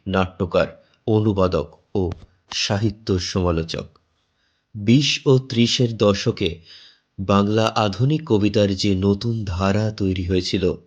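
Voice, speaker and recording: A man presenting and narrating a scripted horror story in a measured, suspenseful, dramatic manner. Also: lowish voice, darkish tone. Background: faint background music.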